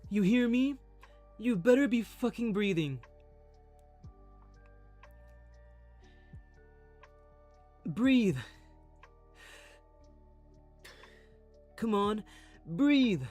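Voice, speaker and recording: A man's voice: sits high, expressive, slow pace, darkish tone, soft background music, pitched above the performer's own voice. A man voicing a foul-mouthed, possessive young man in a dramatic audio roleplay, aggressive and commanding. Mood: desperate, possessive.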